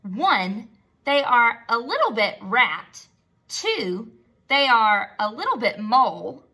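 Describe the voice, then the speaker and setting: Animated, bright-voiced woman teaching young children. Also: a little high, takes it slowly. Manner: expressive, simple words, prompts listeners with questions and praise. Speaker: teacher reading a storybook aloud to young children. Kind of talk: read-aloud lesson for young children, question and answer.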